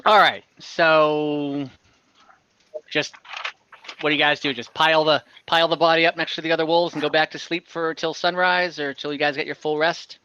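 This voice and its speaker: Male game master, bright forceful voice, improvised narration, halting casual asides, slightly muffled online audio. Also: a little high, level tone, clean tone, no echo.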